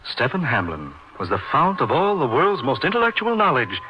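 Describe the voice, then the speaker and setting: An actor playing an earnest, awestruck young doctor telling a dark tale in a theatrical, emphatic old-time radio style. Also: male voice, mid-range voice, huge pitch swings, moderate pace, background noise. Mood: awed, ominous. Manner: theatrical, florid, emphatic delivery. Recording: muffled radio drama recording.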